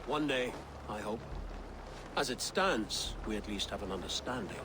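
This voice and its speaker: An actor playing an earnest, diplomatic Saxon nobleman in a video game cutscene, measured and pleading. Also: on the low side, animated, background noise, natural voice. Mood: humble. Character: earnest, diplomatic Saxon thane, would-be king.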